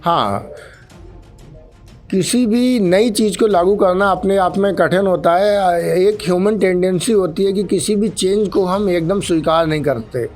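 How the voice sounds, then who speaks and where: Male official as interview guest, slightly high voice, earnest explanatory delivery, light music underneath. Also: measured pace, a little mellow. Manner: formal, earnest, mixes in English terms. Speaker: senior traffic police officer, interview guest. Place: radio studio.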